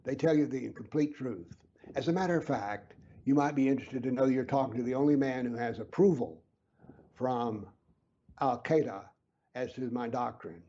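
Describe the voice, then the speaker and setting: A man being interviewed who explains his views earnestly and emphatically, sometimes dropping to a low whisper to stress a point. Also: normal speed, dark tone, highs cut off. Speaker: interview guest, author.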